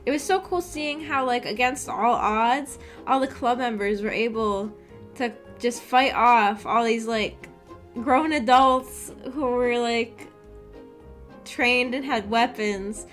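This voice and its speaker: A woman's voice: fairly high pitch, takes it slowly, fairly bright tone, faint music behind. A woman streamer chatting casually and enthusiastically with her viewers, with informal phrasing full of "like". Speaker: streamer hosting a visual novel book club. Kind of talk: casual livestream chat with viewers.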